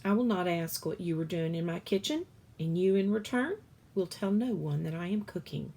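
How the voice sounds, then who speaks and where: A woman's voice: fairly low pitch, animated, normal speed, mellow. A woman voicing a fat, jolly female cook from a storybook, with hearty, folksy exclamations. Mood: brisk, bossy.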